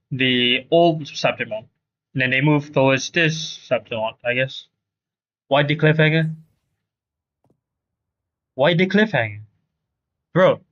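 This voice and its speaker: Higher-voiced male streamer, slow and casual. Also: a bit bright. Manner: halting, repetitive, slangy. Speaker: game livestreamer. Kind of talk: casual chat to stream viewers. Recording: dull lo-fi stream audio.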